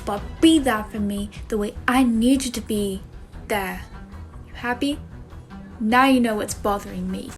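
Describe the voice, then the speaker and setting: Woman acting a hurt, reproachful daughter, high voice. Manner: pleading, reproachful, emphatic. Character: frustrated, hurt grown daughter confronting her mother. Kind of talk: dramatic monologue for a voice acting demo reel.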